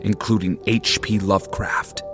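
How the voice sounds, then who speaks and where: A man playing a gleeful cadaver horror-show host, hammy and macabre in a drawn-out theatrical promo read. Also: deep voice, fairly even intonation. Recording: music behind the voice.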